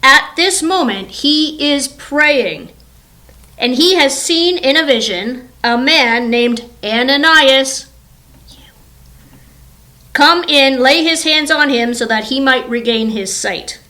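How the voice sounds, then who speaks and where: A commanding, solemn God played by a woman speaks in loud, declamatory phrases as part of a children's Bible story. The voice is high and bright, with slow, weighty pacing. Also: heavily acted.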